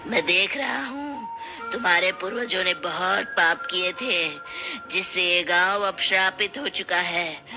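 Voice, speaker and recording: A woman's voice: fairly low pitch, unhurried, over music. A woman voicing an imposing male tantric holy man, solemn and portentous, as if pronouncing a doom. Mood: ominous.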